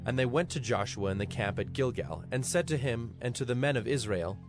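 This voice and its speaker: A man narrating scripture as a scripted reading, solemn and measured. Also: medium pitch, lively intonation, faint music behind. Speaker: Bible narrator.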